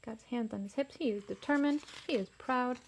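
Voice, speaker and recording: High-voiced woman reading to children. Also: a little fast, a little hiss or hum, rough recording. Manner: musing, prompting listeners with questions. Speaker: storybook reader. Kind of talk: children's read-aloud with asides and questions.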